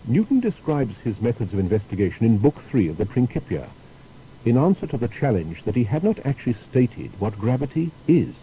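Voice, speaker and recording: A man narrating a scripted biographical documentary in a measured, explanatory way. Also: mid-range voice, big pitch swings, dark tone, a little hiss or hum, poor audio.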